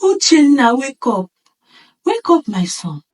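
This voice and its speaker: Woman playing anguished village mother, folktale, devoted, urgent, raised pitch, slightly distant. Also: very animated, slow, darkish tone, exaggerated voice. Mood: anxious pleading.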